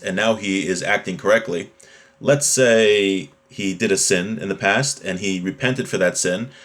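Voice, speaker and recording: A man with a fairly low voice gives an informal religious lesson. He talks conversationally as a teacher would, explaining the text in plain terms and using everyday examples. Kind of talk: informal Torah class, reading a text and explaining it.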